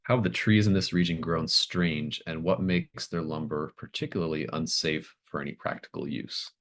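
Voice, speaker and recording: A man working as game master, improvising horror scene descriptions in a halting, thoughtful way. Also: a bass voice, steady tone.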